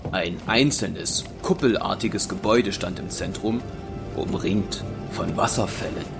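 A man's voice: low-pitched, measured pace, gravelly, music in the background. A man narrating a German audio drama in a scripted, dramatic storytelling style.

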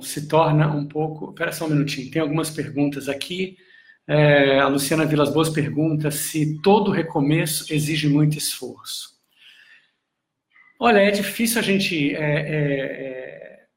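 A man, a breathing and meditation instructor, giving a reflective live talk with many pauses and restarts while answering viewer questions. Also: fairly high pitch. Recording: echoey room.

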